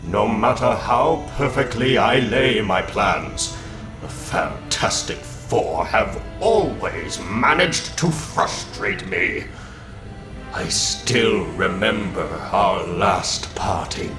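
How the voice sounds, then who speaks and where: A man's voice: on the low side, lively intonation, slow pace. A man playing a menacing, grandiose supervillain in a comic book dramatic reading, pompous and theatrical in his delivery. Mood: brooding, grandiose. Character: menacing, grandiose male supervillain plotting revenge. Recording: echoing voice over clear background music.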